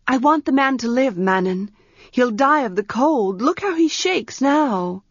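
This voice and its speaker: A woman voicing a young priestess girl who gives sharp, commanding orders in an audiobook. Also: high voice, expressive, a little slow, no echo, highs cut off, pitched above the performer's own voice, exaggerated voice. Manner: commanding, scoffing. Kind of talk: audiobook character voice.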